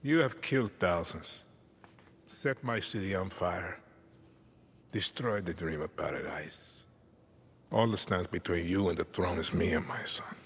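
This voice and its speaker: Gravelly, deep-voiced Latin dictator. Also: male voice, heavily acted. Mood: cold, menacing. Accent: Latin American Spanish. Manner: measured, commanding, exacting. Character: cold, imperious, ailing middle-aged dictator and father. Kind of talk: dramatic video game acting. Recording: loud music behind.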